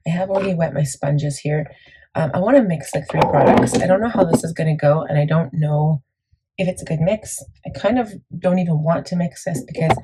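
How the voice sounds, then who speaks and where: Beauty vlogger, female, deep and husky, warm mellow tone, chatty and meandering. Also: rough recording. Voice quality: gravelly, deep. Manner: chatty, rambling, many fillers. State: morning voice, a bit crackly. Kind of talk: casual makeup tutorial chat.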